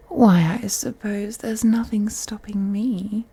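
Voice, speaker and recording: A woman playing a wealthy elder vampire in an intimate audio drama, soft and breathy, speaking in a teasing, condescending, seductive manner. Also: mid-range voice, heavily acted. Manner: slow, teasing, condescending, drawn-out phrasing with breathy whispers.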